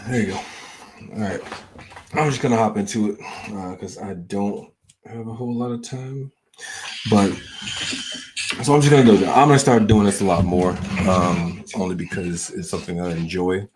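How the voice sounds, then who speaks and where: A man's voice: fairly low pitch, fairly even intonation, moderate pace, pauses often, noisy background. A man from the American South talking casually to his audience in a hesitant, rambling way, full of ums and restarts. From Southern United States. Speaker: channel host. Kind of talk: casual personal monologue.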